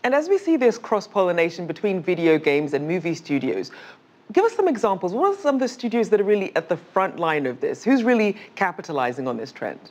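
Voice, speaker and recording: A woman's voice: fairly low pitch, big pitch swings, a little fast, a little mellow. A woman hosting a broadcast interview, putting questions to a guest, on a slightly muffled recording. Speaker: interviewer, news host.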